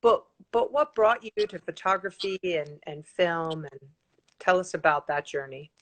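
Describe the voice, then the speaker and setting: A woman's voice: lowish voice, expressive, normal speed, a bit bright, poor audio. A woman in her forties hosting a casual live-streamed interview from home, bubbly and enthusiastic, with frequent ums, heard through a laptop mic. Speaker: host. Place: room at home, indoors. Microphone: laptop webcam mic on a video call.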